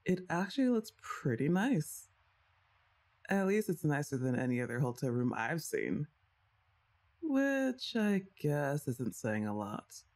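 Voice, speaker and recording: A young woman plays a best friend's older sister in an ASMR roleplay, chatty and teasing, laughing often. Her pitch moves a lot and sounds lively. She talks straight to the listener. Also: slow pace, exaggerated voice. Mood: playful. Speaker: voice actress.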